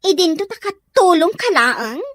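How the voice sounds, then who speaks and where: A woman's voice: very high voice, very animated, unhurried, fairly bright tone, no echo, clean studio sound, exaggerated voice. A woman playing a spiteful, stubborn young daughter of a rich family in an audio drama, snappish and scornful. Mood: snappish, annoyed. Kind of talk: radio drama acting.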